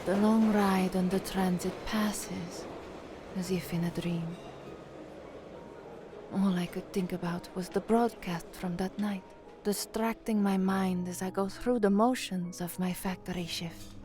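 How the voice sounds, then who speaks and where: An actress playing an anxious, earnest young woman from the slums in a dramatic first-person audio story, measured and introspective. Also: medium pitch, fairly even intonation, music in the background, natural voice. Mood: weary, tense.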